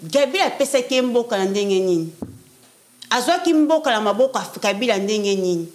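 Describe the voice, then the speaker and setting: A woman's voice: a little high, expressive, moderate pace. A young woman from France, a prophetess guest in a casual interview, talking emphatically and repeating phrases for stress. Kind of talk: casual interview talk, French mixed with Lingala.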